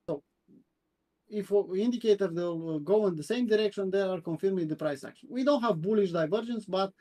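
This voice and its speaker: A man from Romania with a Romanian accent, reviewing crypto charts on a live stream in a halting way, with many fillers and repeats. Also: high voice, mellow. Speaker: co-host, crypto chart analyst.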